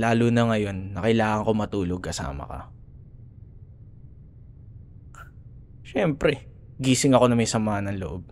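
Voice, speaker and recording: A man's voice: medium pitch, natural voice. A man with a Filipino accent playing a grumbling, teasing young school rival who secretly cares, in a sarcastic, casual ASMR boyfriend roleplay. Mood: sulky, grudging. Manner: sarcastic, casual bickering with sighs and murmured asides.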